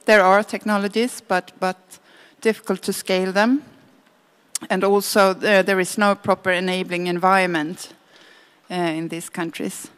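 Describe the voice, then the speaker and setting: A woman giving an impromptu summary to a room, halting as she goes item by item. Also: mid-range voice, flat delivery. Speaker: government agency unit head. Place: live event room.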